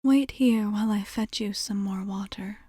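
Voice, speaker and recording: A woman voicing a composed, caring vampire bartender in an ASMR roleplay, half-whispering with measured, formal wording and thoughtful pauses. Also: medium pitch, dark tone, no room sound, clean studio sound, natural voice. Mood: gentle, attentive. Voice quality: breathy, whispery.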